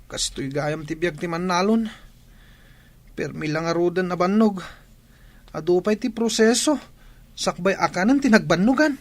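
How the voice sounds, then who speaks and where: A man's voice: high voice, moderate pace, pauses often. A man playing a complaining, farm-weary young son in an Ilocano radio drama, with a Filipino accent.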